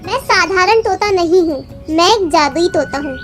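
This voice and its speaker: A magical storybook parrot, acted by a woman, speaks in an extremely high, small voice. He is a solemn, mysterious guide who instructs and warns. Soft music plays behind him. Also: animated, moderate pace. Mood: mysterious, promising. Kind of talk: children's storybook character voice.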